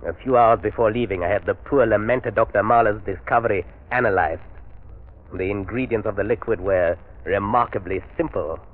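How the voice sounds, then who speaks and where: An actor playing a scheming, megalomaniac army villain in a 1930s radio drama, with a theatrical, formal and sardonic delivery. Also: male voice, fairly low pitch, a little fast, close to an everyday voice. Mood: gloating. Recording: muffled 1930s radio broadcast recording.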